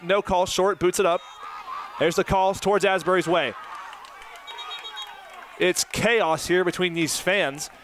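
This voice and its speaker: A man's voice: high-pitched, slight background noise. A man in his early twenties doing live play-by-play commentary for a college soccer match from an outdoor stadium. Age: 20s. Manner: rapid play-by-play that climbs to excited shouting.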